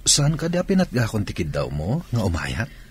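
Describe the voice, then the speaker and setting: A man playing a soldier who is a gentle father and evasive husband in a radio drama. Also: fairly low pitch, huge pitch swings, moderate pace, slight background noise. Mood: tender, reassuring.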